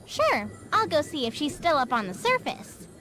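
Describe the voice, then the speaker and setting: A woman voicing an eager, helpful and earnest young girl with magic in an animated game. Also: high voice, normal speed, soft background music, heavily acted. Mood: willing.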